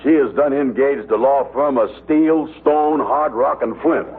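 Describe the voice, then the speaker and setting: An actor playing a pompous, hapless big-talker in an old radio sitcom, blustering in folksy broken grammar and malapropisms. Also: male voice, medium pitch, unhurried, close to an everyday voice. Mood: scared. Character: scheming, hapless, pompous big-talking fiancé, a grown man. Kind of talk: 1940s-50s radio sitcom acting. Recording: noisy, tinny vintage radio broadcast.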